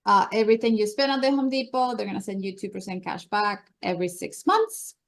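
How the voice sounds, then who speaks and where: A woman's voice: medium pitch, expressive, brisk. A woman with a Colombian accent hosting a meeting, presenting slides with chatty enthusiasm. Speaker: meeting host. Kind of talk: upbeat meeting announcements.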